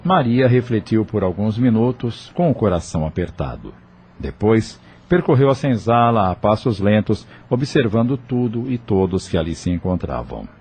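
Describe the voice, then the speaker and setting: A man's voice: lowish voice, big pitch swings, moderate pace, darkish tone, breathy edge. A man reading scripted narration for an audio novel as its narrator.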